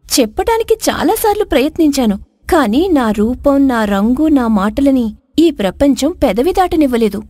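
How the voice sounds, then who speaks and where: A woman acts out a young princess of a storybook kingdom, scorned yet wise. Her voice is high and earnest, with solemn, formal phrasing. The recording is very dry, with no room sound. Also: sing-song, moderate pace, dark tone, dry recording. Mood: earnest, sorrowful. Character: spurned dark-skinned young princess, earnest, wise, wronged. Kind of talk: dramatised moral folk tale.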